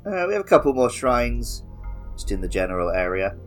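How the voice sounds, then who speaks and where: A man's voice: mid-range voice, very animated, a little fast, soft background music. A man co-hosting a casual gaming podcast, chatting with dry, wry remarks. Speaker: podcast co-host.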